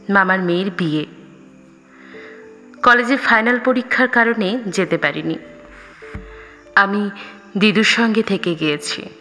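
Woman, audio story narrator, unhurried delivery, expressive, dramatic pauses, reverberant sound over soft music. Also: medium pitch. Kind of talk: scripted first-person story narration. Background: background music.

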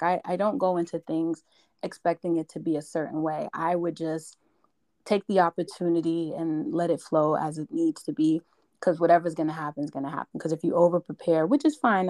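A woman from New York, a podcast guest, chatting in a raspy, strained voice that is hoarse from yelling, quick to break off and correct herself. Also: low voice, no room sound. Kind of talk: casual podcast interview.

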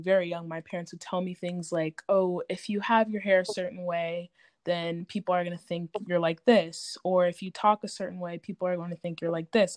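A young woman talking candidly in a group discussion, in an informal, halting, reflective way with frequent "like". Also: lowish voice, no room sound.

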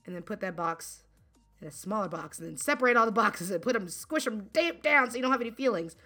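Bright, giggly woman podcast co-host. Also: mid-range voice, fast talker, faint music behind. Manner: giggly, animated, acts out quotes. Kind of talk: casual chat.

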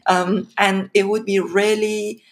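A woman from Iran, in her thirties, talking in a casual podcast interview with many fillers and pauses. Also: mid-range voice. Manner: hesitant, many fillers, animated when laughing. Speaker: podcast guest.

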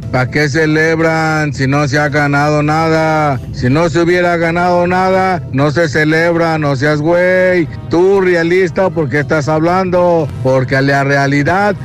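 A man leaving a blunt, argumentative phone message for a radio show, full of slang. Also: fairly high pitch. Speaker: caller. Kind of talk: recorded listener message.

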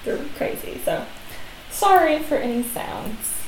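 A woman with a fairly high, animated voice teases and chats casually as a co-host. Her voice echoes around a home dining room, and a faint hiss runs underneath. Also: takes it slowly. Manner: lively, teasing, short replies. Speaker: podcast co-host. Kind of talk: casual chat.

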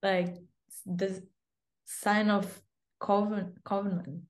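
A woman's voice: medium pitch, level tone, takes it slowly. A woman guest sharing a personal story in a reflective way, often repeating words for emphasis. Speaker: guest, bride-to-be. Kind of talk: casual storytelling.

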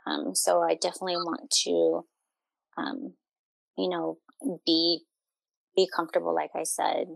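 A woman's voice: on the low side, level tone, measured pace, vocal fry, pauses often, no echo, rough recording. A young adult woman talking casually as an interview guest, in an informal, hesitant, meandering and self-reflective way. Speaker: family therapist, interview guest.

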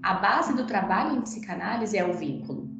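A woman's voice: mid-range voice, animated, a little fast, creaky. A Brazilian woman hosting a podcast, presenting and interviewing guests. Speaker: podcast host. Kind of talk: scripted introduction and interview. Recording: roomy echo, music behind the voice. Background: music.

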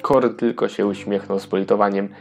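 Low, slightly creaky male storyteller. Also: level tone, fairly quick, soft background music. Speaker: narrator. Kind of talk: scripted children's storybook narration.

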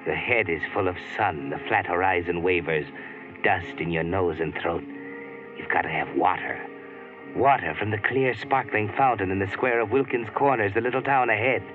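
A man's voice: low voice, normal speed, phone-quality sound, over music, close to an everyday voice. A man narrating an old radio drama in the second person with tense urgency, on an old radio broadcast recording. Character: grim male narrator addressing a hunted woman.

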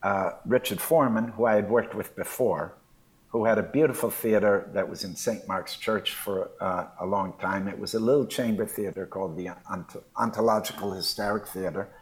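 A man's voice: fairly low pitch, normal speed, poor audio. An older man chatting as a podcast co-host, thoughtful and hesitant with many fillers. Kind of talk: casual conversation.

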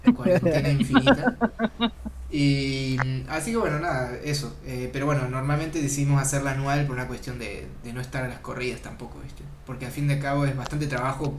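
A man's voice: medium pitch, a little fast, hardly any pauses, a crowd in the background. A man hosting a casual chat, talking loosely with plenty of fillers. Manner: relaxed, rambling with fillers. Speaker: podcast host. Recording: echoey room with clear noise.

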